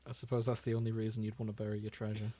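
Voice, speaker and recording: A man's voice: on the low side, completely flat delivery, rapid-fire, dark tone, no room sound. A man co-hosting a podcast in casual comedic chat, joking and giggly. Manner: jokey, giggly. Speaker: podcast co-host.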